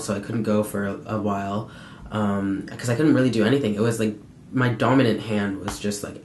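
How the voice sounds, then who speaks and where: A young man giving a casual, candid personal update in a conversational, halting way with frequent pauses. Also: mid-range voice. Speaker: vlogger. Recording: echoey room sound.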